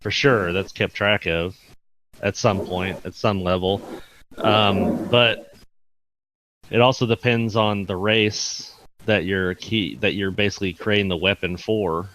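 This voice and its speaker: A man's voice: fairly low pitch, steady tone, unhurried, background noise. A man on a gaming podcast panel, explaining things in casual, somewhat hesitant talk. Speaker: podcast panelist and game staff member. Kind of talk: casual podcast chat about an online game.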